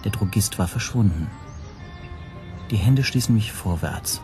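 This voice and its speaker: An actor playing an anxious foreign language teacher who narrates his own story in a measured, introspective radio play style. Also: on the low side, expressive, over music. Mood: uneasy, measured. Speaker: first-person narrator.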